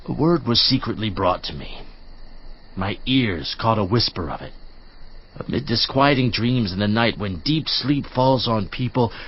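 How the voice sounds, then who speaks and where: A male actor plays a stern, admonishing counselor of ancient scripture. His husky voice rises and falls widely as he preaches with rhetorical emphasis. It is a theatrical dramatized reading, recorded slightly dull. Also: medium pitch. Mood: hushed awe. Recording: slightly muffled audio.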